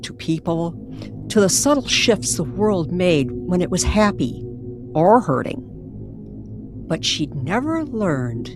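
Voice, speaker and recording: A low-voiced woman tells a dramatic story in the manner of old-time radio. She speaks very slowly and suspensefully, with expressive rises and falls and long pauses, over clearly audible music. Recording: music behind the voice.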